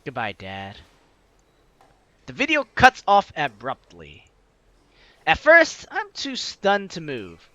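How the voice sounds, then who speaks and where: A man's voice: a little high, huge pitch swings, takes it slowly, long pauses, bright, forceful tone, no echo, lo-fi sound. A man reading a story game aloud as a let's player, with dramatic narration and casual asides. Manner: dramatic reading, slipping into offhand comments.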